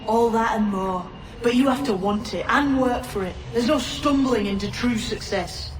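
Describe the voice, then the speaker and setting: A woman's voice: fairly high pitch, normal speed, soft background music, lightly acted. An actress playing a bold, salty woman pirate in a video game cutscene, brash and confident. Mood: confident, encouraging. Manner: brash, confident, plain-spoken. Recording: roomy, distant, clearly noisy ambience.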